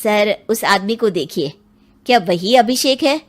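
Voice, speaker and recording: A woman's voice: medium pitch, animated, measured pace, close to an everyday voice. Woman voicing an earnest, formal police inspector. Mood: assured. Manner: questioning, formal, courteous. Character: earnest, businesslike male police inspector, persistent and courteous. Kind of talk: dramatized audio storytelling. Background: music.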